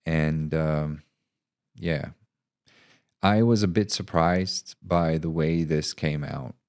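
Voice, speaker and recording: A man narrating and reflecting on his own writing in an unhurried, thoughtful way. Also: extremely low pitch, a little mellow. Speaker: author reading own short story. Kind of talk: scripted narration and casual reflective talk.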